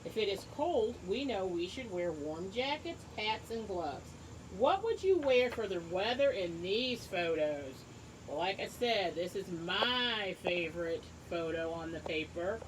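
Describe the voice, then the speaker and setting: A woman teacher reading a book aloud to young children, encouraging them and pausing to ask questions and explain things simply. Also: medium pitch, expressive, a little hiss or hum, poor audio. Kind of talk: read-aloud lesson with asides and questions to children.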